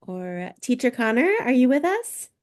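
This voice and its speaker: The woman who runs a teachers' get-together, calling on each person in a high, encouraging voice. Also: very animated, moderate pace. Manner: encouraging, affirming. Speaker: host of a staff information session. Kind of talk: informal meeting facilitation.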